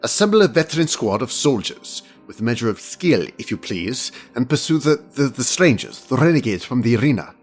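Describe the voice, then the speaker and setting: A man acts out a coolly calculating alien official for a fantasy audio drama. He is formal and pedantic, every phrase measured, yet his mid-pitched delivery moves widely up and down. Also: very animated, soft background music, lightly acted. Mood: coldly commanding. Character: precise, haughty male alien inspector, coolly calculating official.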